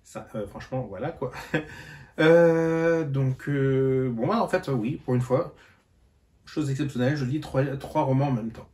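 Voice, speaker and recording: A man's voice: mid-range voice, a little slow, dark tone. A tired man chatting casually in a book vlog, hesitant and full of fillers and restarts. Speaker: book vlogger. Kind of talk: casual reading-update vlog.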